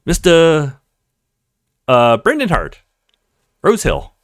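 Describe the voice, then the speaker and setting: A man voicing a grandiloquent, flattering male French restaurant host in a visual novel, florid and courtly. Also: a little high, very slow, dry recording, clean studio sound, heavily acted. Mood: effusive flattery.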